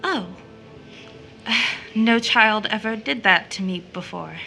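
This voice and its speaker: A woman's voice: mid-range voice, takes it slowly, gravelly, sharp tone, faint music behind, heavily acted. A woman playing a tender but troubled child-loving young woman in an audio drama, earnest and theatrical. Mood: shocked, tender. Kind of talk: audio drama stage-style acting.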